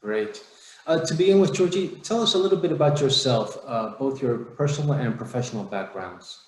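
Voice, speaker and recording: A man's voice: mid-range voice, animated, fairly quick, reverberant, poor audio. A man hosting an interview, reading a prepared introduction and then asking questions plainly.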